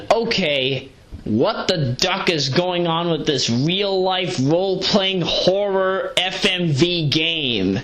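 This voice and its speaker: A woman giving a casual, rambling audio review, sleep-deprived but hyper, digressing and correcting herself in slangy talk as she paces around. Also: fairly low pitch. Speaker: video reviewer, vlogger. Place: pacing around while recording.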